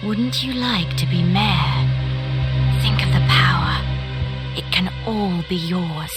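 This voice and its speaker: A woman's voice: on the low side, takes it slowly. A woman voicing a haughty, seductive, ruthless noblewoman villain in a fantasy game, imperious and condescending. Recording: very loud music behind the voice.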